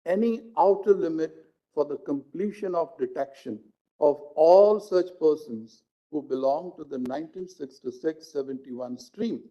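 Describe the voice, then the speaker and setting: A man with an Indian accent, a judge reading out a legal judgment in formal, deliberate phrasing. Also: fairly high pitch. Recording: dull lo-fi live stream audio.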